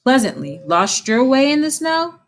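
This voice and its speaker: A brisk gentleman rat from a storybook, read by a woman. He speaks in an inquisitive way, with lively rises and falls in pitch. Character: brisk male water rat, questioning gentleman animal.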